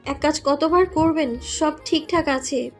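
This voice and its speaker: High-voiced young wife, tender and teasing woman acting. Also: measured pace, soft background music. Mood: soft, coaxing. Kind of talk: scripted romantic audio story acting.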